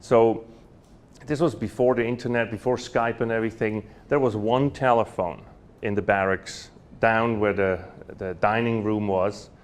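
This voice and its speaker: A man's voice: on the low side, fairly even intonation, moderate pace. A man, telling personal stories at a university talk, chatty and full of fillers and restarts. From Switzerland. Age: 40s. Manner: warm anecdotal storytelling with frequent fillers and restarts. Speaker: guest speaker. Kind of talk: storytelling lecture. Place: university lecture hall.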